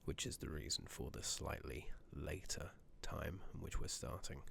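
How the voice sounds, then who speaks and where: Man, soft-spoken and whispery, extremely crackly vocal fry, game commentary, noticeable noise, keyboard clicks. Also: very deep voice, moderate pace. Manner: hushed, gentle, drifting between murmur and whisper. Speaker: ASMR gaming streamer.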